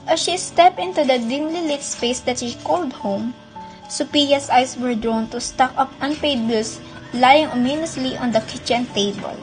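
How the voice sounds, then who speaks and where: A woman's voice: high-pitched, moderate pace, soft background music, rough recording. A woman with a Filipino accent reading scripted narration for a radio drama.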